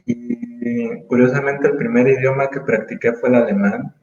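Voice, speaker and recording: A man's voice: medium pitch, very animated, normal speed, gravelly, a little mellow, lo-fi sound. Hesitant man on a roomy call. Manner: thoughtful, hesitant, many fillers and restarts. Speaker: interview guest. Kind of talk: casual conversational interview.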